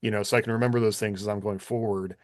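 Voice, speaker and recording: A man's voice: lowish voice, fairly even intonation, very fast, no room sound, studio quality. A man chatting casually as a podcast co-host, explaining how he works in a loose, conversational way. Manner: loose, explanatory, peppered with "like" and "you know". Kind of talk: casual conversational shop talk.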